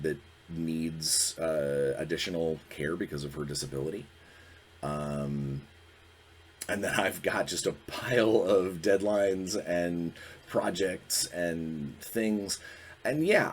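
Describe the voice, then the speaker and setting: A man in his forties with a very low, deep voice, talking on his own as a podcast host. He speaks candidly and reflectively, pausing and restarting as he works through his thoughts, with a faint hum of noise behind him. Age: 40s. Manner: earnest, reflective, halting. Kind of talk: candid solo talk.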